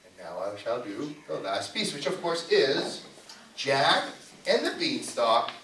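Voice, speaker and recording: A man's voice: medium pitch, big pitch swings, a little slow, pushed and bright, a little hiss or hum. A man presenting as a puppeteer to a family audience, informal, instructive and playful, live in an indoor venue. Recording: echoey room, distant mic.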